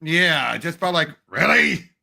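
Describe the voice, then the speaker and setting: A man co-hosting a casual movie discussion, excitable and animated as he retells and acts out quotes. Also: fairly high pitch, unhurried, gravelly. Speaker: movie podcast co-host.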